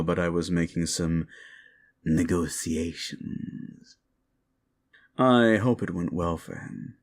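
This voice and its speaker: Man acting a deep, gravelly, taunting demon. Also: full character voice. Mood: sly, teasing. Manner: theatrical, taunting, drawn-out delivery with chuckles. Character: sly, mocking classic monster demon, male, summoned to grant wishes. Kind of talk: scripted audio roleplay, ASMR.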